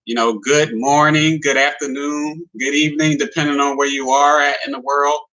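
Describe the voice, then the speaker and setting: Guy hosting a live stream, chatty and friendly, talks simple with lots of "you know", voice kinda sharp and bright. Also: fairly high pitch, level tone, moderate pace, poor audio. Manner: friendly, addresses viewers as "guys". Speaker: livestream host presenting a business opportunity. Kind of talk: casual livestream presentation.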